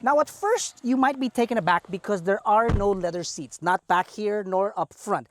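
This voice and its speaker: A man's voice: sits high, moderate pace, slight background noise. A man with a Filipino English accent hosting a car review walkaround, chatty and playful with enthusiastic asides.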